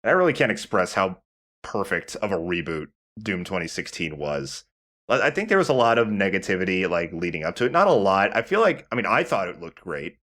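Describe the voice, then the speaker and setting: A man with a fairly low voice talks casually as a let's play host. His delivery is conversational and halting, as he works out his thoughts about games while speaking. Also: very animated, a bit bright. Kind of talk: casual gaming commentary.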